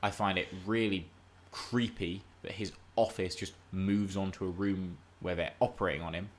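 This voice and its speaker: A man's voice: deep voice, fairly even intonation, normal speed, slight background noise. A man co-hosting a podcast, discussing film and TV casually in an emphatic, analytical, argumentative way. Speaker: podcast co-host.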